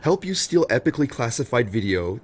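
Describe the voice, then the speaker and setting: A mid-pitched, bewildered male lover in a radio drama, his pitch moving expressively. He sounds intimate and incredulous, searching for answers. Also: sing-song, a little fast. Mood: incredulous, sarcastic. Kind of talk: naturalistic audio drama dialogue.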